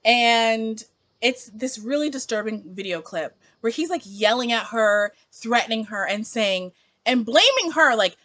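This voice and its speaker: A woman's voice: fairly high pitch, unhurried. A woman in her twenties talking emphatically in a casual opinion vlog, with lots of "like" as she works through her thoughts. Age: 20s. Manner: animated, emphatic, heavy use of "like". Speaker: book vlogger.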